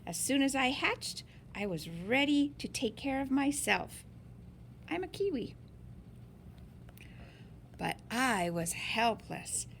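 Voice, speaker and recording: Woman doing storytime for kids, slow and careful, kinda creaky, bit of background noise. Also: sits high. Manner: slow, deliberate, animated phrasing for young listeners. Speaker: storyteller reading a picture book aloud. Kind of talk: expressive children's read-aloud.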